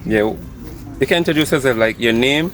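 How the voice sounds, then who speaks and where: A mid-pitched man who asks questions briefly and politely. He stands outdoors with vehicles and ambience audible around him. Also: a little fast, fairly bright tone, a little hiss or hum. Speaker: interviewer. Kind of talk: conducting outdoor interviews.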